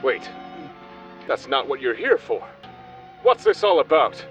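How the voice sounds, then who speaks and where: A man's voice: medium pitch, big pitch swings, unhurried, a bit bright. A man playing a pompous, brazen secret son of a dictator in a melodramatic comedy radio play. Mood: flustered. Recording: dull lo-fi with music.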